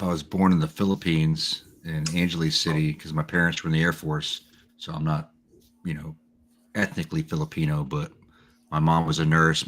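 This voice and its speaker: Very deep-voiced laid-back man. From Texas, USA. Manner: laid-back, candid, blunt, a little profane. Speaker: guest, retired Army Special Forces sergeant major. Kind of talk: casual interview storytelling. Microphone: own microphone, remote call.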